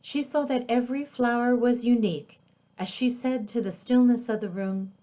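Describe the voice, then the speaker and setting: A woman reading her own children's book aloud as storybook narration, with expressive, deliberate, drawn-out phrasing. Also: fairly high pitch.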